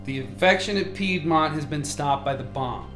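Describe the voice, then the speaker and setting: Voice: medium pitch, moderate pace, music in the background, close to an everyday voice. Actor playing an authoritative lead scientist, echoey room. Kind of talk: radio drama acting.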